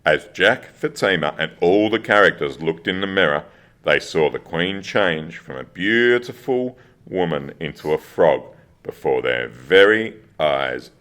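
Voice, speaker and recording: A man's voice: low voice, expressive, a little slow, a bit bright. A man narrating a scripted children's fairy-tale radio play.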